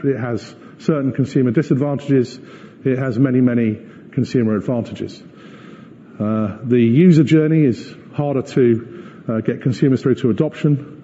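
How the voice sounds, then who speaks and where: Guy doing a corporate slide presentation, really dark mellow voice, measured and explanatory, big echoey room. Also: mid-range voice. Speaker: company executive presenting results. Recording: muffled echoey hall recording.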